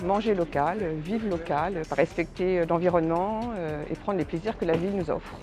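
Soft halting woman at a market. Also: lowish voice, over music. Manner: soft, halting, talks through a face mask. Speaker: resident interviewed. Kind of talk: street interview answers. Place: outdoor market stall.

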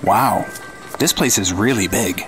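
Man playing an earnest, lowish-voiced FBI sidekick. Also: a little slow, over music, lightly acted. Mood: earnest, curious. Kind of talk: 1950s radio drama acting.

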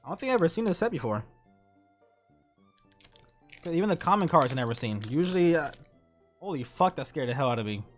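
A man's voice: on the high side, big pitch swings, brisk, pauses often. A young man hosting a casual live stream, bantering and cursing as he stumbles over his words. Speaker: streamer, host. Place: indoor home streaming room. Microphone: streaming mic. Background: music.

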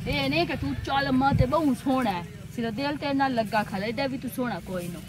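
A young woman with a rural Pakistani Punjabi accent, playing a bossy, sharp-tongued village woman scolding her suitor in a comic drama. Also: high voice, brisk, lightly acted. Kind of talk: improvised comic drama dialogue. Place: outdoors by a brick wall and field. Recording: distant camera sound, loud outdoor noise.

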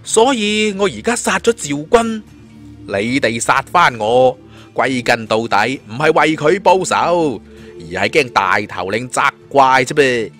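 A man's voice: mid-range voice, lively intonation, unhurried, fairly bright tone, faint music behind. A man voicing a cool, defiant young fighter hero in an audio story, taunting and scornful. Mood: shrewd, taunting.

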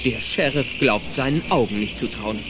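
A man's voice: medium pitch, moderate pace, over music. A man narrating a children's audio drama in a scripted storytelling style.

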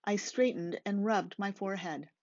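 A middle-aged woman reading a first-person novel aloud in a measured way, recorded indoors on a webcam. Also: medium pitch, darkish tone. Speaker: author reading from own novel. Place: small room, indoors. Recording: slightly dull webcam audio.

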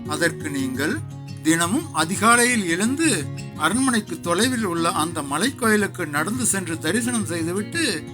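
A man voicing an elderly, calm and sly holy man who speaks in formal, measured instruction. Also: high voice, over music. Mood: calm, instructive.

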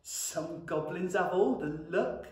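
A woman's voice: fairly low pitch, animated, slow, a little mellow, recorded from a distance. A woman voicing a whiny, emotional male goblin who squawks and protests, in a children's storybook. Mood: grumpy. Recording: very echoey classroom.

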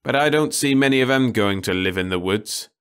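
A man's voice: mid-range voice, a little fast, fairly bright tone, clear, resonant tone, pitched above the performer's own voice, natural voice. A man voicing a weary, impatient husband in an audiobook story, with an anxious, dismissive, grumbling manner. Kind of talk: audiobook character dialogue.

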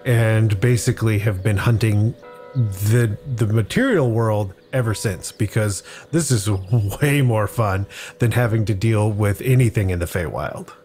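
A man's voice: on the low side, measured pace, a little mellow, soft background music. A man playing a tabletop game as a guest, telling his character's story in a thoughtful, step-by-step way. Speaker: guest player on a tabletop podcast. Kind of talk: improvised, reflective tabletop storytelling.

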